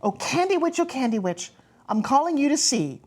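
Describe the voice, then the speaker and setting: A woman's voice: high voice, very animated, moderate pace, slight background noise, pitched above the performer's own voice. A woman voicing an eager child who pleads in a sing-song storybook chant. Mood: pleading. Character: eager pleading child calling a magical witch.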